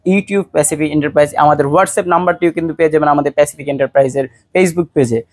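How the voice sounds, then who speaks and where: Higher-pitched Bangladeshi salesman, dry sound. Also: darkish tone, dry recording. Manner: salesman-like, addresses viewers directly with rhetorical questions. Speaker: shop presenter selling a product. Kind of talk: promotional product pitch to camera.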